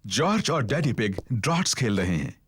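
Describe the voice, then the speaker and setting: A man's voice: mid-range voice, sing-song, normal speed, slightly rough. A man narrating a children's cartoon in a scripted storytelling manner.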